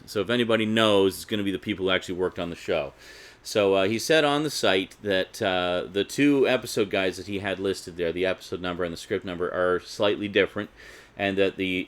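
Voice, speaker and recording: A man hosting a casual, unscripted collector video, talking in a chatty, informal way with conversational asides. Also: deep voice. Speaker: video host reviewing a DVD collection.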